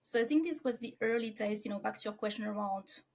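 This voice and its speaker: A woman's voice: mid-range voice, quick pace, a little mellow. A woman in her forties from France, with a French accent, talking reflectively about herself as an interview guest. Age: 40s.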